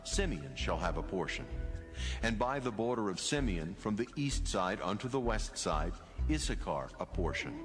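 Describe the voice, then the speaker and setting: Male narrator, lowish register, solemn formal scripture reading, deliberate phrases, prominent music bed. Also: very animated.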